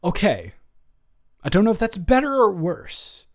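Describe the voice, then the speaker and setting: A man's voice: high-pitched, wildly swooping pitch, unhurried, full character voice. A man playing an earnest, flustered ex-boyfriend in an intimate improvised audio roleplay, stammering and trailing off as he talks. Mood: incredulous, hopeful.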